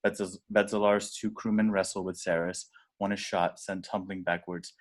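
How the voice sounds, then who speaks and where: A man reading screenplay action directions aloud in a deliberate, dramatic way. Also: deep voice, flat delivery. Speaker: stage directions reader.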